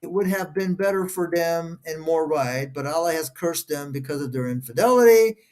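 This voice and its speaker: Halting man, Portuguese his first language. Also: fairly high pitch, a little mellow. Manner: halting, stops to question each phrase. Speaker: co-host reading scripture. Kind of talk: casual discussion, reading verses aloud.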